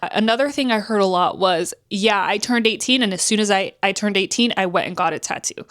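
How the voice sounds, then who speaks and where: A woman's voice: a little fast, a bit bright. A woman in her twenties from New Jersey talking candidly to camera in a casual vlog. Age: 20s. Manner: chatty, candid, acts out quotes with pitch swings. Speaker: vlogger.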